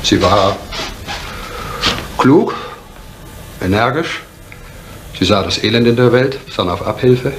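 An actor playing a reserved, bitter divorced father in a vintage German crime drama, speaking in a measured way with deliberate pauses. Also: lowish voice. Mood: bitter reflection. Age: middle-aged. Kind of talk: 1970s German television crime drama acting. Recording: faintly noisy vintage drama soundtrack.

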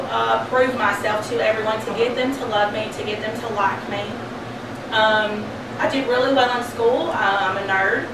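Woman, 30s, hesitant church testimony; distant mic, very echoey hall, steady noise. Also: a little high. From Kentucky, USA. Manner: halting, many ums and uhs. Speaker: program member giving testimony. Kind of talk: personal testimony.

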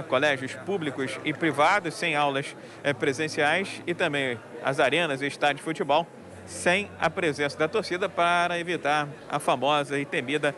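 Male field reporter, slightly high voice, chatty live hit outdoors, crowd noise behind. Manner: chatty, many fillers, addresses anchor by name. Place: outdoors at a crowded public event. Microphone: handheld mic, wearing a face mask. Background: crowd murmur.

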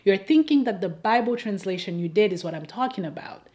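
A woman from Haiti speaks as the host of an advocacy video, in a mid-range voice. Her delivery is scripted and persuasive. She makes her points deliberately, with a wry, rhetorical edge. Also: mid-range voice, lively intonation, warm tone. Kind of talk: scripted persuasive commentary addressed to camera.

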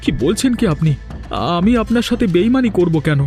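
A man's voice: high voice, animated, brisk, dark tone, faint music behind. A man voicing a meek, poor labourer in a cartoon, deferential and protesting his innocence. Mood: shocked, pleading. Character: meek, falsely accused poor male labourer, pleading. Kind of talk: cartoon dialogue.

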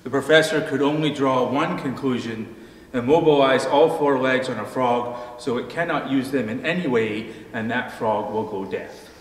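A middle-aged man preaching a sermon from a pulpit, calm and measured, telling a story with dry humor. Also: mid-range voice, slight background noise. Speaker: pastor. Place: church sanctuary, behind a pulpit. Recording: distant, echoey church hall.

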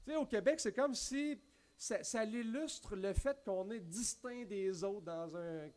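Man, Québécois accent, pastor, sermon at a church pulpit, high-pitched, animated. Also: a little slow. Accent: Québécois French. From Quebec. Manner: animated, gesturing widely, many restarts and spoken asides. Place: church stage at a wooden pulpit, indoors.

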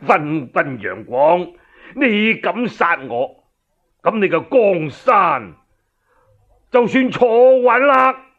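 A man voicing a righteous, defiant general in his seventies, furious and scornful, with drawn-out declamatory delivery in a storytelling drama. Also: high voice, big pitch swings, vocal fry, fairly bright tone, highs cut off, heavily acted. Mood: scornful defiance.

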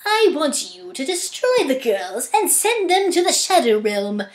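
A woman's voice: high-pitched, sing-song, a little slow, echoey room. A woman voicing a scheming male villain, giving orders in an affected, commanding manner for an amateur cartoon audition. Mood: sinister, commanding.

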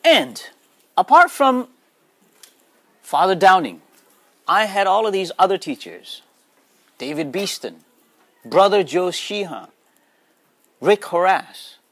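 A man from Nepal, whose first language is Nepali, speaks earnestly to a room of students and keeps checking in with them. His voice sits a little higher than most men's. The sound is dry and close, with almost no room echo. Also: big pitch swings, slow pace, pauses often, fairly bright tone. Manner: earnest, asks the audience questions. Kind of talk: motivational school talk.

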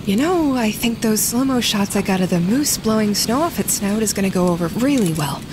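An actress playing a practical, understated wildlife photographer on a winter camping trip, speaking naturally with hesitant pauses in an audio drama. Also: female voice, mid-range voice, lively intonation, noisy background, close to an everyday voice. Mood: casual, subdued.

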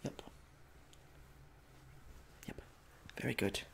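A young man doing a soft-spoken ASMR roleplay as a medical examiner, halting and awkwardly polite, in a small room at home. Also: a little hiss or hum. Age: young adult. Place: small domestic room indoors.

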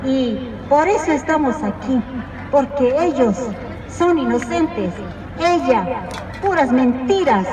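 A Mexican woman from Oaxaca giving a deliberate, emphatic activist speech at an outdoor street rally, with a Mexican accent. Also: high voice. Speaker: activist speaker. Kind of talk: protest speech. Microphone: PA speaker, filmed from a distance. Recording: echoey outdoor PA, distant, noisy crowd.

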